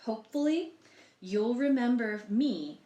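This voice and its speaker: A woman's voice: a little high, animated, unhurried, mellow, dull audio. A woman reading a picture book aloud to children, expressively, with explanatory asides and questions for the listeners. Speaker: library storytime reader. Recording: roomy, echoey sound.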